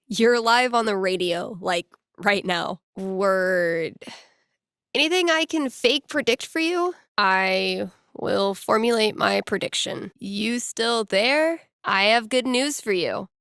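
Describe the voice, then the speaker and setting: A woman's voice: mid-range voice, takes it slowly, fairly bright tone, clear, resonant tone, no room sound, clean studio sound. A woman playing a laid-back, playful young radio DJ in a video game, with wry, slangy, mock-dramatic call-in patter. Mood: amused, playful. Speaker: call-in radio show host.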